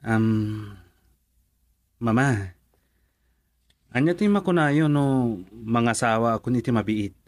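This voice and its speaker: A man's voice: medium pitch, lively intonation, takes it slowly, long pauses, darkish tone, no echo. A young man with an Ilocano accent acting an earnest, pleading grown son in a radio drama.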